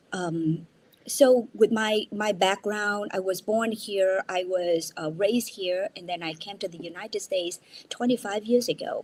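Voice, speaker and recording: Earnest Vietnam-born woman, husky voice. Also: medium pitch, a little mellow. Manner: earnest, restarts words, tags sentences with "right?". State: jet-lagged. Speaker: guest entrepreneur. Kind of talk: casual interview. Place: at her mother's house.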